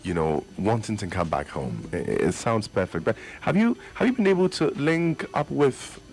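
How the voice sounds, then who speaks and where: A man with a Ghanaian accent hosting a radio interview in a studio, asking lively questions and affirming the guest often. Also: fairly low pitch, normal speed. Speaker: radio host. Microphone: studio microphone. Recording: radio studio over loud music. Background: loud background music.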